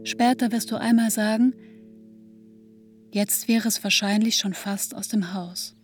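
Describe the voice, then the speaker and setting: A woman narrating a radio drama in a measured, restrained way, recounting painful memories intimately in the second person. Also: mid-range voice, steady tone, lightly acted. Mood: restrained, sober. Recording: dry studio with music underneath.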